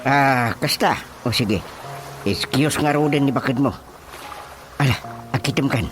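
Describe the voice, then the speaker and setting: A man's voice: medium pitch, measured pace, over music, natural voice. An actor playing a bossy, fussy village chairman and healer in a radio comedy drama, with an Ilocano Filipino accent and a hesitant, muttering manner.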